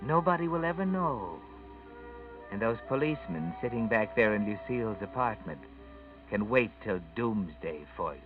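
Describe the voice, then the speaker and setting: An actor playing an ominous, taunting, all-knowing narrator of a 1940s radio suspense drama. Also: male voice, fairly low pitch, very animated, moderate pace. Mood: sardonic menace. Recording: tinny distant old radio recording, music behind.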